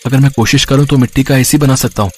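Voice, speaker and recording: A man voicing an earnest, hardworking poor village boy in an animated moral story, speaking plainly and reassuringly. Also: medium pitch, fast, husky, natural voice. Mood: hopeful.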